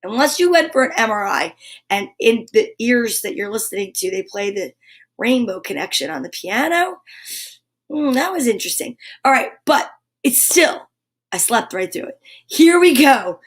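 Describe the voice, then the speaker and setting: A woman with a high voice hosts a live show for children. She talks straight to her viewers in an upbeat, chatty style. Her delivery is exuberant, emphatic and encouraging. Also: animated, normal speed. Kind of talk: upbeat live chat to viewers, reading picture books aloud.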